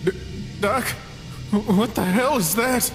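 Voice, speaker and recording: A man's voice: high-pitched, takes it slowly. A man voicing a young, laid-back but wary skeleton monster in a comic dub, with casual, slangy speech. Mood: frightened. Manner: casual, slangy, stammers when frightened. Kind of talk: comic dub character acting. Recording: echoey voice over music.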